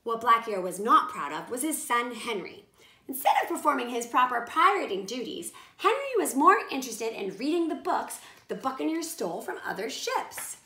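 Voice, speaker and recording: A woman reading a children's storybook aloud in animated narration. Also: on the high side, moderate pace. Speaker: storybook reader. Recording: roomy echo.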